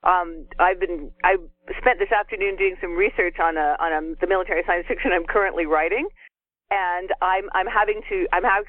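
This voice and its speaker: Give me a woman guest on a narrow telephone connection, measured and emphatic, talking matter-of-factly about her work. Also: on the low side, no room sound. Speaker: guest author. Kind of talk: considered opinion in casual discussion. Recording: narrow tinny telephone sound.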